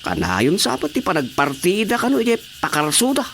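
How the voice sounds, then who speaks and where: High voiced ilocano village watchman, radio drama comedy, little background noise. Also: wildly swooping pitch, a little fast, creaky, fairly bright tone, exaggerated voice. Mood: dutiful. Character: male village watchman excitedly reporting to his superiors.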